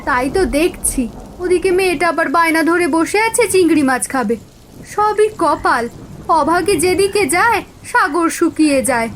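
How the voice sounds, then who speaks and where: A woman's voice: very high-pitched, expressive, moderate pace, a little hiss or hum. A woman playing a poor village wife and mother in a cartoon folk tale, worried, coaxing and lamenting. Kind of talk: cartoon fairy-tale dialogue acting.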